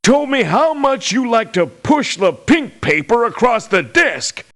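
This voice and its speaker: An actor playing a crotchety old American veteran mercenary in a video game, folksy and scornful of youngsters. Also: male voice, very high voice, big pitch swings, a little slow, fairly bright tone, exaggerated voice. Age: older. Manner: folksy, cantankerous. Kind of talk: video game character voice lines.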